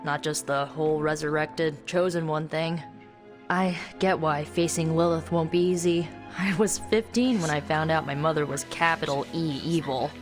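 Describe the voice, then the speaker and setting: A woman acts out a young American heroine in a video game, a sorceress who is wry, chatty and friendly. Her voice sits at a middling to slightly low female pitch, with music playing behind her casual, teasing talk. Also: fairly even intonation, moderate pace, natural voice. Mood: confiding. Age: young adult. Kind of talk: video game voice acting, conversational. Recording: background music under the dialogue.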